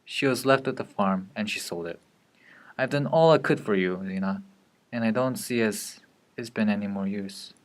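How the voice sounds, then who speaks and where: A fairly low voice, a man acting a weary young husband who feels trapped. He delivers a dramatic monologue in a brooding, deliberate way, bitter and despairing. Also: natural voice. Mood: resigned resolve.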